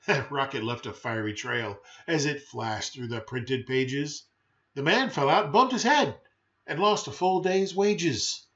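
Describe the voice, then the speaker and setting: A man's voice: medium pitch, a little slow, a bit bright, muffled recording. A man reading a children's rhyming picture book aloud in a theatrical, playful, emphatic way with brief chatty asides.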